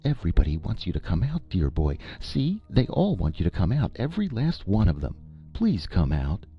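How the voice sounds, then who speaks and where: A man voicing a fretful, pleading old aunt in a high wavering voice in a storybook reading. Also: huge pitch swings, normal speed, heavily acted. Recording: lo-fi with faint music.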